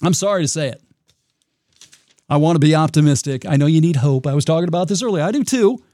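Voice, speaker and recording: Raspy-voiced male podcast host. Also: a little high, animated, quick pace, warm tone, no room sound, clean studio sound. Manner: reflective, rambling, with restarts. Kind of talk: solo podcast monologue.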